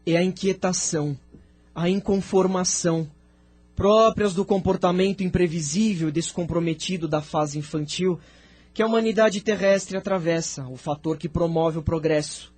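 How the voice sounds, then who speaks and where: A venerable spirit philosopher, played by a man with a Brazilian accent. His voice sits quite high for a male, and he declaims formal lines with measured, thoughtful solemnity, as in an audio novela. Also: steady tone, darkish tone. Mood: solemn, reflective. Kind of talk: scripted audio drama dialogue.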